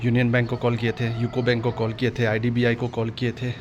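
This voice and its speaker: A man's voice: mid-range voice, completely flat delivery, quick pace, noisy background. An Indian man hosting an exam-update video, talking casually and directly to viewers with frequent agreement-seeking tags. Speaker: exam-update YouTube host.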